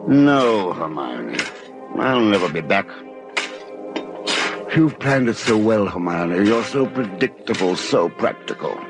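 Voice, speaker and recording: An actor playing a smooth, coldly calculating middle-aged professor and husband in an old-time radio suspense drama. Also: male voice, on the low side. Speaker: lead actor and narrator.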